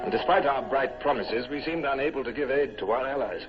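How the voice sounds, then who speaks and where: An actor playing a calm, resolute naval commodore who also narrates, in a measured command voice. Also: male voice, mid-range voice, music in the background, lightly acted. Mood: narrating. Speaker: narrator and lead. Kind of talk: 1940s radio drama acting. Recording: muffled old radio with clear hiss.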